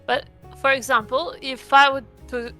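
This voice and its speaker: A woman's voice: sits high, little pitch movement, normal speed, pushed and bright, soft background music. A woman playing a tabletop game, casually questioning the group's plan with tentative phrasing. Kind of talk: casual game planning chat.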